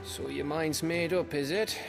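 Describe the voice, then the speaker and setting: An actor playing a gruff but warm-hearted, modest rebel scout in a fantasy game, talking colloquially and awkward with sentiment. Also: male voice, a little high, fairly even intonation, measured pace, music in the background. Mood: wary, advising. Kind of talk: dramatic video game acting. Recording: clear ambient noise behind voice.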